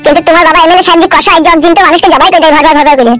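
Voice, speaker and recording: A man's voice: very high voice, steady tone, racing, a bit bright, faint music behind. A man voicing a timid, naive, protesting married simpleton who frightens easily, in a comedy cartoon. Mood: fearful protest. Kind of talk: amateur cartoon comedy dubbing.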